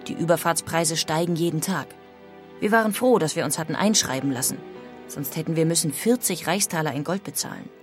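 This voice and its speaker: Actress as lower-voiced emigrant woman, music behind. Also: a little mellow, close to an everyday voice. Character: 19th-century emigrant woman recounting her wait for passage. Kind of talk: acted letter reading in audio documentary.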